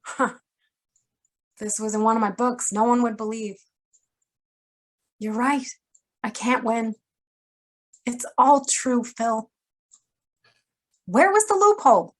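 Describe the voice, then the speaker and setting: Actress as a knowing noir femme fatale, slightly high. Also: female voice, takes it slowly, long pauses. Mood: resigned, bargaining. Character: shrewd, wry woman publisher hiding a killer's past. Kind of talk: noir radio drama acting.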